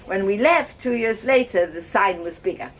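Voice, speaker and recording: An older woman from Wales telling her life story casually, reminiscing in a halting, conversational way. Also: mid-range voice, lively intonation. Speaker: host of guest lodging, farmer's wife. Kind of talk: casual personal storytelling to guests. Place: informal talk to visitors, filmed by a guest. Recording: noisy ambient home video.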